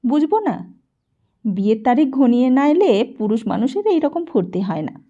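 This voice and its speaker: A woman's voice: sits high, big pitch swings, moderate pace, warm tone, dull audio, exaggerated voice. A woman reading the part of a proud, practical young woman who chides and teases her suitor in an audiobook. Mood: teasing.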